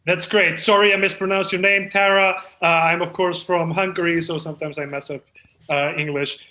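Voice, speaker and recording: A man's voice: high-pitched, fairly even intonation, moderate pace, pushed and bright. A man playing a deluded, eager amateur screenwriter who hosts a comedy show in an effusive, self-important manner. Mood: chatty, rambling. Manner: effusive, self-important, stumbling when off script. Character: deluded, eager amateur screenwriter hosting his own reading. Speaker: host. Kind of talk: comedic monologue and mock talk-show hosting. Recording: roomy echo.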